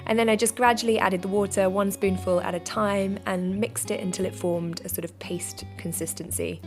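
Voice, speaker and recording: Woman, slightly croaky, chatty self-deprecating vlogger, faint music underneath. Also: mid-range voice, fairly even intonation, normal speed. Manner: cheerful, self-deprecating, conversational. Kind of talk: chatty sponsored how-to vlog.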